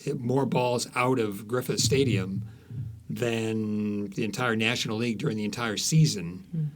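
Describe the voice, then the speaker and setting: Low-voiced man telling stories in an interview. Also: measured pace. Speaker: interview guest, author. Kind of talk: conversational storytelling interview.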